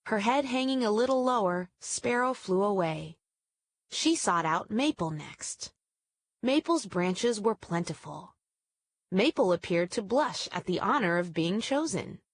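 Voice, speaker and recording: A woman's voice: mid-range voice, lively intonation, measured pace, dry recording. Woman reading a children's story, dry sound. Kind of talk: scripted children's story read-aloud.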